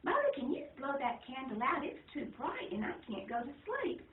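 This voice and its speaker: A woman putting on the voice of a calm father in a children's story, lowering her voice and speaking matter-of-factly. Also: moderate pace, echoey room, far from the mic, phone-quality sound.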